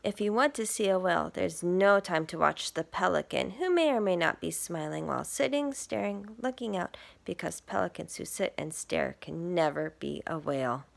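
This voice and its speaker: A woman reading a picture book aloud to young children like a teacher, expressively, asking questions and dropping into hushed, breathy asides. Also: lowish voice, expressive, normal speed, hardly any pauses.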